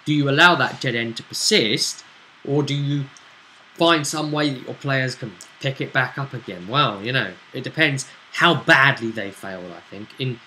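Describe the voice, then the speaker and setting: A man with a bright, forward voice talks as a game master. He explains the tabletop story conversationally, often checking in with tag questions. There is some noise behind him. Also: medium pitch, moderate pace, poor audio. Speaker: game master and show host. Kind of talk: recapping a tabletop role-playing session conversationally.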